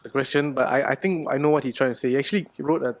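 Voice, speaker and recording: A young man hosts a relaxed podcast chat, talkative and easily amused. His voice sits at an ordinary pitch and sounds close and dry, with no room around it. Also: no echo. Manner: chatty, quick to laugh, repeats "okay". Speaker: podcast host.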